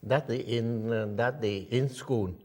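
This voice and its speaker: Slow, halting man interviewer. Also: mid-range voice, steady tone, darkish tone. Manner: halting, searching for words, nonnative phrasing. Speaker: interviewer, local TV host. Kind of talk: interview questions.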